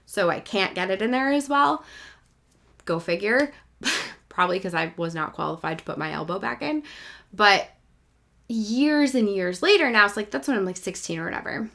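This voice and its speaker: A woman in her thirties telling a personal story as a podcast host, in a casual, candid, animated and self-mocking way. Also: medium pitch, normal speed, creaky.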